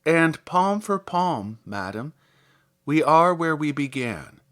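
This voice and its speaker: A man reading scripted literary material aloud as a podcast host, in a measured, articulate, theatrical manner. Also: fairly high pitch, very animated, fairly bright tone. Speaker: podcast host and poetry reader. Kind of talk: scripted literary introduction and poetry recitation.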